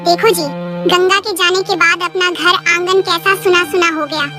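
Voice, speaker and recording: A woman voicing a poor, caring, practical village wife who gently chides, in a moral cartoon. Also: very high voice, fairly even intonation, normal speed, over loud music. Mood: worried, consoling. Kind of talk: Hindi moral cartoon story voice acting.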